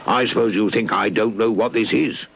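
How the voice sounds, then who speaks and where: A man's voice: fairly low pitch, fairly even intonation, moderate pace, vocal fry, breathy edge, heavily acted. A British man voicing a bossy, pompous, growling toy tyrant in a children's puppet story. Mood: pompous, demanding. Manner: demanding, shouty, pompous. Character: blustering, bossy, big-headed male toy tyrant. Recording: dull lo-fi VHS tape transfer.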